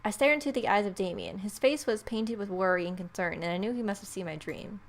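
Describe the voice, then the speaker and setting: A woman's voice: mid-range voice, fast. Wry woman reading a visual novel aloud. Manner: playful, wry, expressive reading. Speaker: gamer, video host. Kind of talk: let's play read-aloud with casual asides.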